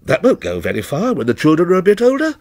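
A man's voice: high-pitched, huge pitch swings, fast, darkish tone, no echo, studio quality, pitched above the performer's own voice, heavily acted. A man voicing a scornful, aloof stranger who asks probing, needling questions.

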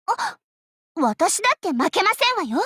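Elegant young idol lady for anime game: very high, swooping delivery, proud, teasing, quick to fluster. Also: very animated, sharp tone, dry recording, clean studio sound, full character voice. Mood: teasing, confident. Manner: refined ladylike speech, teasing and theatrical.

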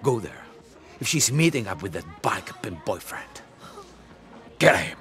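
A man's voice: fairly low pitch, sing-song, measured pace, faint music behind. An actor playing a volatile, menacing middle-aged Russian mobster father with a Russian accent, brooding and explosive, in a video game. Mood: bitter, angry. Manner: brooding mutters swinging into explosive shouting.

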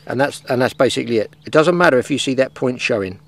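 An older man in his sixties talks through a fishing demonstration, recorded outdoors on a grassy lake bank. His voice sits at an ordinary middle pitch, and he is practical and plain-spoken as he works with his hands. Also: animated, fast talker, background noise. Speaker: fishing instructor.

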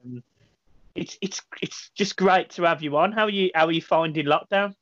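A man's voice: fairly high pitch, moderate pace, fairly bright tone, no echo. Man on a headset video call. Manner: chatty, repeats words when starting sentences. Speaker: host of fan channel. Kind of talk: casual video-call chat. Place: small room at home. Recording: dry, dull lo-fi call audio.

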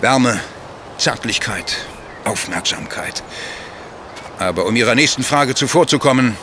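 An actor playing a self-assured gentleman widower under suspicion in a radio play, formal, insistent and defensive. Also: medium pitch, expressive, measured pace, noisy background. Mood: bitter. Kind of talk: German radio play acting.

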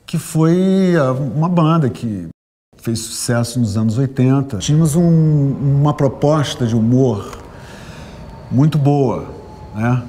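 A man in his fifties with a carioca accent tells his story in a warm, mellow voice. His manner is relaxed and animated. He is speaking in a studio interview. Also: mid-range voice, slow. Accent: Carioca Brazilian Portuguese. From Rio de Janeiro. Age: 50s. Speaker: interviewee, bookseller. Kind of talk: reflective testimonial. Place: studio with gold curtain backdrop.

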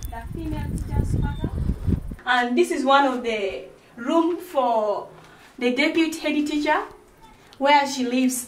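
A young woman from South Sudan with a South Sudanese accent, presenting a school tour in earnest, halting phrases on noisy location audio. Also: sits high. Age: young adult. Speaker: student tour guide. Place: school grounds and rooms, outdoors and indoors.

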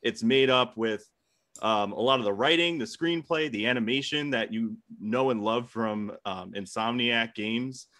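Brief: young male debater, bright forceful voice, sweary casual banter, close dry mic. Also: medium pitch, measured pace, no echo. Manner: sweary, joking. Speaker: debate contestant.

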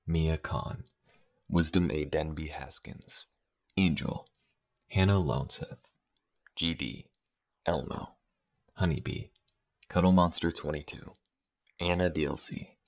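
A man reads scripted credits for his own audio roleplay channel. His voice sits extremely low, with plenty of gravelly fry. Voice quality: deep, gravelly. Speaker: audio roleplay creator and voice actor. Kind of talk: scripted credits read to listeners.

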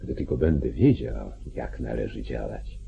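Very deep, creaky, domineering judge. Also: male voice, big pitch swings, soft background music, heavily acted. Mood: scheming, commanding. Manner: commanding, deliberate, scheming. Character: calculating, domineering small-town judge, older male politician. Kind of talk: mid-century Polish radio play acting. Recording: muffled, narrow-band 1940s radio recording.

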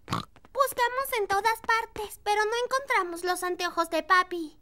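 A performer voicing a lively, bossy little girl piglet in a Spanish cartoon dub, with a childlike, exclaiming manner. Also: very high voice, measured pace, full character voice. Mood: earnest.

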